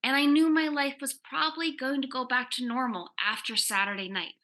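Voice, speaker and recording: A woman reading the part of an excitable, nerdy teenage girl in a comic reading, gushing and self-deprecating. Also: sits high, brisk. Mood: confiding, wry. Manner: gushing, chatty teenage exaggeration. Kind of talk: dramatic comic reading, first-person teen narration.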